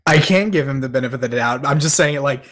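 A man's voice: medium pitch, quick pace, fairly bright tone. Guy podcaster, mid-range voice, casual chat, leans on words and repeats them to stress stuff. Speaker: podcast panelist.

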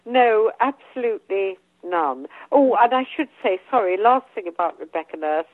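A woman's voice: mid-range voice, expressive, normal speed, no room sound. An older English woman talking as a guest author, hesitant with many ums and restarts, and losing her voice. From England. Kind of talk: casual interview talk. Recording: tinny phone line.

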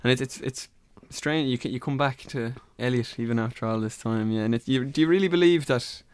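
A man's voice: medium pitch, brisk, smooth, no room sound. A man with an Irish accent presenting and interviewing on a radio programme about poetry. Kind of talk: scripted radio introduction and conversational interviewing.

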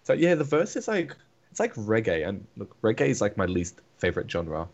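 A man's voice: on the low side, huge pitch swings, brisk, darkish tone, dull audio. A man co-hosting a casual podcast chat, giving opinions with lots of "like" and "I mean". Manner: opinionated, filler-heavy. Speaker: podcast co-host.

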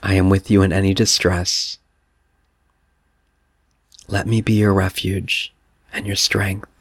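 Guy doing god the father, tender loving spirit, slow soft repeated reassurances, low dry voice. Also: little pitch movement, no room sound, full character voice. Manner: gentle, repetitive affirmations.

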